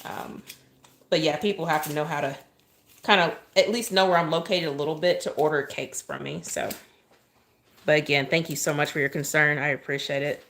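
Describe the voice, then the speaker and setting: Southern woman, soft calm lower voice, chatty livestream cake decorator at a kitchen counter. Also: brisk. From Oklahoma, USA. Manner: chatty, thanks viewers often, asides while working. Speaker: cake decorator live-streaming. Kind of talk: casual livestream chat while piping icing.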